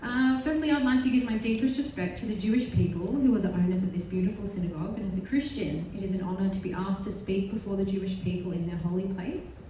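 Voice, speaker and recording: An Australian woman delivers an earnest, determined speech at a live panel, with the odd wry aside about herself. Her tone is mellow. The sound is distant and heavily echoey, like a big hall, with a faint hiss behind. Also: mid-range voice, fast talker, hardly any pauses. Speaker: panel speaker. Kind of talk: prepared speech at a live event. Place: large synagogue hall.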